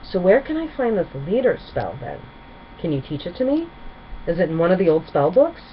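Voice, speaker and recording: A woman's voice: on the low side, wildly swooping pitch, normal speed, muffled recording, a little hiss or hum. A woman voicing an eager, anxious young female pony student in a storybook, full of questions.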